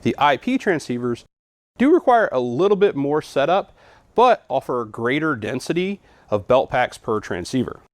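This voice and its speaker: A man's voice: mid-range voice, sing-song, normal speed, no room sound. A man giving a scripted, methodical product walkthrough in an instructional way, as a trainer would.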